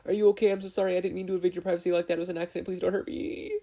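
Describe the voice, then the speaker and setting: Man voicing a high, nervous teenage boy. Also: little pitch movement, racing, warm tone. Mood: panicked, apologetic. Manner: flustered, squeaky, apologetic. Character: nervous, polite teenage boy inventor, easily flustered.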